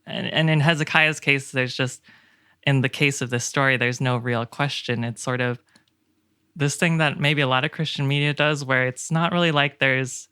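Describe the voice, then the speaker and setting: Smooth-voiced podcast guest, close and dry. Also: mid-range voice, steady tone, moderate pace, sharp tone, no echo. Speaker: game developer. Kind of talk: casual podcast discussion.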